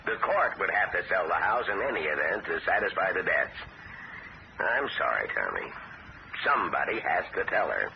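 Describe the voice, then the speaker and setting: A sly, wheedling uncle. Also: male voice, big pitch swings, moderate pace, exaggerated voice. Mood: scheming. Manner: smooth and wheedling, with sudden rises in pitch. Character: sly, self-pitying uncle squandering his niece's money. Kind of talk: 1970s radio drama acting. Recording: muffled old radio broadcast with hiss.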